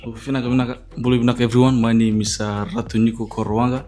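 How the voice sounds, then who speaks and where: A young Fijian man with a Fijian accent, introducing himself quietly and haltingly as a podcast guest. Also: medium pitch, level tone, faint music behind. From Fiji. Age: young adult.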